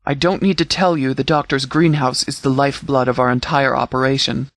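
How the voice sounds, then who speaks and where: A man's voice: mid-range voice, little pitch movement, fairly bright tone, no room sound, natural voice. Man playing a formal sheriff, dry close sound. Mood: businesslike, grave. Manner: formal, measured, authoritative. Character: stern, formal sheriff and military commander. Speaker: sheriff and commander. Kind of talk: scripted game dialogue, mission briefing.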